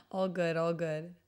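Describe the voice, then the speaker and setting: A woman's voice: fairly low pitch, darkish tone, clear, resonant tone. A woman hosting a livestream, chatting casually and informally with her viewers. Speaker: livestream host.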